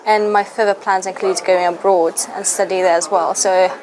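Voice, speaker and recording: A woman's voice: mid-range voice, fairly even intonation, normal speed, noisy background. A woman in her twenties from Lithuania whose first language is Russian, a university student earnestly answering interview questions with frequent "you know" fillers. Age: 20s.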